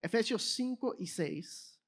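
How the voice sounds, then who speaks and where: A woman preaching a sermon in a language that is not her first, pausing to search for words while an interpreter follows her. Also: on the high side, expressive. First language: not Spanish.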